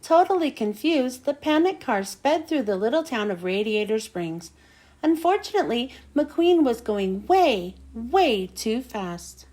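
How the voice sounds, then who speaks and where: High-pitched, animated woman storyteller. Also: unhurried. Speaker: storybook reader. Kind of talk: animated children's read-aloud narration.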